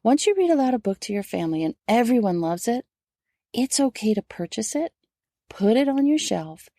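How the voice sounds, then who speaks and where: A middle-aged woman with a mellow, relaxed voice and noticeable vocal fry. She talks in an instructive way straight to the listener, as a solo podcast host. The sound is close and dry. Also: mid-range voice, dry recording. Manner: instructive, addressing listeners directly. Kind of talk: solo podcast advice talk.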